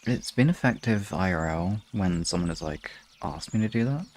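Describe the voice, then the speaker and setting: A man's voice: low voice, expressive, normal speed, darkish tone, slight background noise. A man hosting a casual livestream, talking to viewers in an informal, rambling way. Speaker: livestream host.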